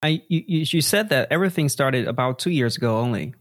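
A man hosts a casual interview, asking leading questions and reacting with open enthusiasm. His voice sits at an ordinary middle pitch. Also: lively intonation, fast. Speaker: podcast host.

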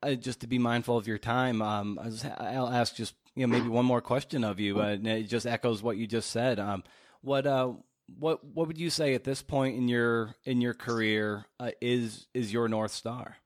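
A man's voice: medium pitch, fairly even intonation, normal speed, no echo, clean studio sound. A man hosting a podcast in an informal, earnest, conversational way. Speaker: podcast host, interviewer.

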